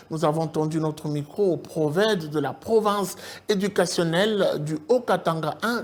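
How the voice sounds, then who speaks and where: A woman news presenter with a lowish voice reads her links in a crisp, formal, scripted manner, putting questions to guests and introducing reports. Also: a little mellow.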